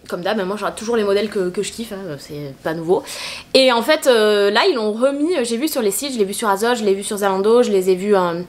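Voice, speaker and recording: Fast-talking congested woman vlogger. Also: fairly high pitch. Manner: chatty, rambling with frequent hesitations. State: congested with a cold. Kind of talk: casual shopping haul chat.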